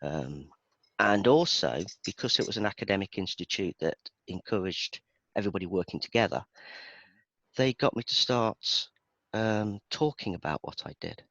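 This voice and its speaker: A chatty British man with a Birmingham accent and a lowish voice talks casually as an expert guest. He is self-deprecating and conversational. The sound is dry and somewhat dull. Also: lively intonation, normal speed, fairly bright tone, dry recording. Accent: Birmingham English. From Birmingham, England. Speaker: interview guest, database performance expert. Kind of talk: casual interview chat.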